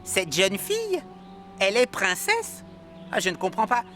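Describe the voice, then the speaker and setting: A woman's voice: medium pitch, huge pitch swings, a little slow, a bit bright, faint music behind. A woman voicing a curious, plucky young animal adventurer in a French cartoon dub. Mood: puzzled.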